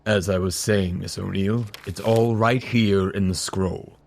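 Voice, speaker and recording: Male actor for grave, low-voiced scholar of ancient legends, measured and erudite, audio drama. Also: fairly even intonation, creaky, darkish tone, slight background noise. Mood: grave, intrigued. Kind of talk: fan audio drama acting.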